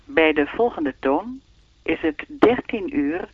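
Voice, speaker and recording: Slow, tinny telephone speaking-clock woman. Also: fairly low pitch, slight background noise, heavily acted. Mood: formal, automatic. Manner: measured, formal, deadpan. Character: lonely, evasive female speaking-clock voice with a will of its own. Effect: telephone filter. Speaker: telephone time announcer. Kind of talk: radio play acting, formulaic announcement turning to dialogue. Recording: narrow, tinny phone-line sound.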